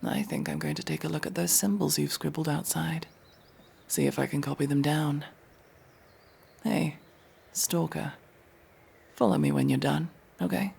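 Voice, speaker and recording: A man playing a cold, sardonic masked elf mercenary in a fantasy audio roleplay, menacing and dryly sarcastic, with a gravelly, husky voice. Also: fairly high pitch, expressive, darkish tone, exaggerated voice. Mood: cold, curt. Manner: slow, menacing, dry sarcasm.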